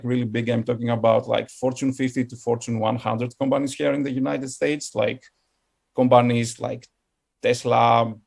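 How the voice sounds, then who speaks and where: Greek-accented man with a creaky voice. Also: mid-range voice. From Greece. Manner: hesitant, halting delivery with constant "like" and "um". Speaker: guest speaker, tech company product professional. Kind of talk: informal meetup presentation.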